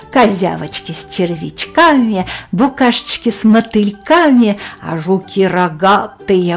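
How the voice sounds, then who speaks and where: A woman reading a children's poem aloud in a rhythmic, lively sing-song manner. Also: medium pitch. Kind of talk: expressive children's verse reading.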